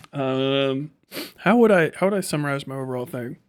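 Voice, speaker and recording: A man's voice: mid-range voice, darkish tone, no room sound, studio quality. A man chatting casually as a live streamer, rambling and sardonic, reading things aloud and swearing offhandedly.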